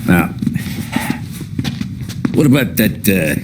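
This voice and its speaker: An actor playing a volatile, menacing 1930s American crime don in a game cutscene, domineering and short-tempered. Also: male voice, deep voice, big pitch swings, slow pace, heavy background noise, exaggerated voice. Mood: mock-friendly menace. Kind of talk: cinematic game cutscene acting. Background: loud dramatic music.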